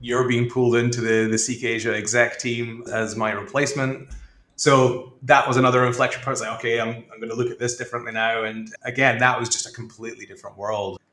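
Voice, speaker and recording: Guest, 40s man, mixed Scottish-Australian accent, relaxed and reflective, roomy sound. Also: mid-range voice, fairly quick. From northeast Scotland. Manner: reflective, with fillers and frequent laughs. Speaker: guest, tech executive. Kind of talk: casual interview talk.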